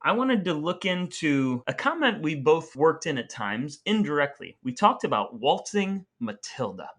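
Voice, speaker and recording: A man's voice: a little high, big pitch swings, normal speed, fairly bright tone. A man co-hosting a podcast in a conversational, partly scripted style, with playful mock-grandiose phrasing. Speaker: podcast co-host. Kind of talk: conversational podcast talk with semi-scripted intro.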